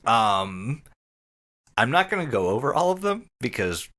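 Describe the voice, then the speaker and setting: A man's voice: mid-range voice, big pitch swings, unhurried, a bit bright. A man co-hosting a casual comedy podcast, joking and swearing freely as he talks. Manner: profane, joking. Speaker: podcast co-host.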